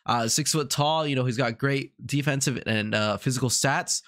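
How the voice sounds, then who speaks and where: A man's voice: medium pitch, moderate pace, fairly bright tone. A man with a congested, sick-sounding voice, rambling casually through video game commentary with lots of fillers and repetition. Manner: rambling, repeats himself, many fillers. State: congested from a cold. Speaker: gaming YouTuber.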